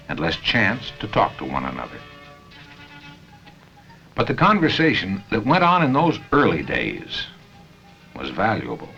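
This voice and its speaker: An American man reading scripted narration for a 1970 company training film, with measured, deliberate phrasing and pauses. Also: lowish voice, a bit bright. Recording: dull 1970 film soundtrack.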